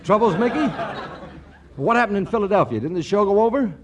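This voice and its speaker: Exclamatory young spendthrift, high voice. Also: male voice, lively intonation, a little fast, husky. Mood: breezy. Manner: exclamatory, emphatic. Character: eager, reckless young spendthrift millionaire. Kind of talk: 1940s radio comedy acting.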